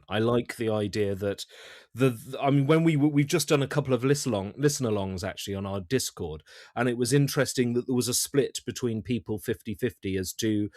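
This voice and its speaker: A man talking casually as an interview guest, thinking aloud in halting, repeated phrases. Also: lowish voice, no echo, studio quality. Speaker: writer-director, podcast guest.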